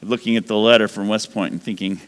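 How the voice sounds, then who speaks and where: A man in his sixties giving a rambling, animated sermon-style talk as a guest speaker in a church. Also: on the low side, fast, big-room sound. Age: 60s. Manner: animated, rambling, self-correcting.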